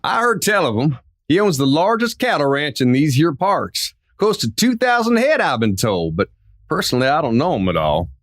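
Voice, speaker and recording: A man's voice: fairly high pitch, very animated, normal speed, no room sound, studio quality. A man playing an easygoing, folksy American small-town sheriff in a radio western. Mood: easygoing, amused. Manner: folksy, chuckling.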